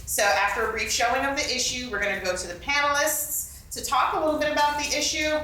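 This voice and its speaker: A woman's voice: medium pitch, a bit bright. Woman moderating in a very echoey room. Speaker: moderator of a puppet show panel. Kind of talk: live event moderating. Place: convention meeting room. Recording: very echoey room, distant mic, faint noise.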